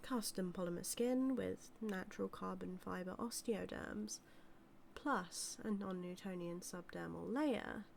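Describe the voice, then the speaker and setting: Woman acting as a british lady mad scientist, evil but doting, slow n gravelly, some noise behind her. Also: mid-range voice. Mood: proud. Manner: measured, musing, condescending, tender toward her creation. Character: doting female mad scientist, villainous, coldly ambitious. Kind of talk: scripted audio roleplay monologue.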